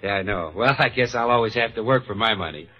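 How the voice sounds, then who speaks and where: A man's voice: lowish voice, quick pace, dull audio, heavy background noise, lightly acted. A man playing a brash, skeptical, easily exasperated sidekick in a 1940s radio comedy. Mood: skeptical, cocky.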